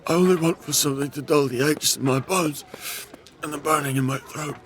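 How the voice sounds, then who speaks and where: A man's voice: a little high, brisk, lightly acted. Actor as sickly, weary refugee man. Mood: weary, pleading. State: sick, coughing. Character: sickly, aching male refugee, weary and bitter. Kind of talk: dark fantasy audio drama acting.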